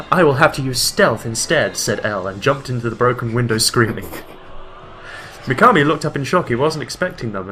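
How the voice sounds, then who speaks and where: A man giving a comedic dramatic reading of a bad fan fiction, with loud voiced quotes. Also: medium pitch. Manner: reads deadpan, breaks into laughter, voices quotes loudly.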